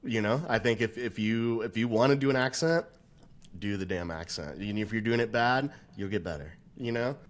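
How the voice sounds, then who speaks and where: A man's voice: fairly low pitch, moderate pace. A man co-hosting a casual tabletop gaming discussion, a dungeon master who thinks aloud with plenty of fillers. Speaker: podcast co-host and dungeon master.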